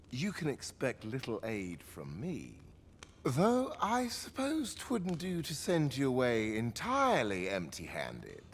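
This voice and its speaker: An actor playing a haughty, excitable, obsessive inventor in a video game cutscene, speaking theatrically in archaic phrases. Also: male voice, mid-range voice, a little hiss or hum. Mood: dismissive, grudging. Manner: theatrical, archaic diction, swinging from muttering to exclaiming. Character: obsessive, haughty, excitable male scholar-inventor of magical devices.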